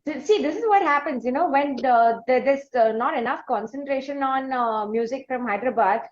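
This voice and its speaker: Indian lady podcast host, highish voice, wordy n hesitant, echoey room. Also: fairly quick. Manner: wordy, hesitant phrasing with repetitions. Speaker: podcast interviewer. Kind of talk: conversational interviewing. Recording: muffled, roomy audio.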